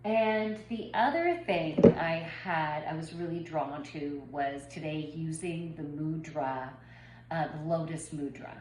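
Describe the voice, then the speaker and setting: A woman's voice: low-pitched, takes it slowly. A woman in her forties, a bit congested from a head cold, talking in a rambling, personal way with many ums and restarts in a spiritual vlog. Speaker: spiritual reader and yoga teacher. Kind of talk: casual spiritual channeling vlog. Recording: echoey room with faint background noise.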